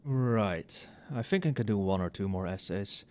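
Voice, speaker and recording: A man playing an overworked, tipsy magic professor in a casual ASMR roleplay, muttering softly with dry, wry asides. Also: fairly low pitch, expressive, normal speed, close to an everyday voice. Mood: musing, tipsy. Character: tipsy, overworked male magic professor grading late at night.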